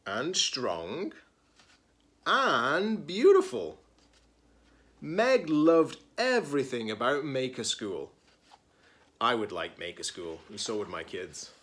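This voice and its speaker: A man's voice: mid-range voice, fairly bright tone. A man in his forties, a teacher-librarian, reading a children's picture book aloud expressively, stressing key words and adding casual asides.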